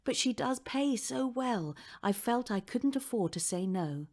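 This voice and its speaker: A woman's voice: on the high side, animated, a little slow, darkish tone, voice pushed up. Cheerful mother, played by a woman reader, affectionate, tender, reassuring, children's story. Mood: apologetic.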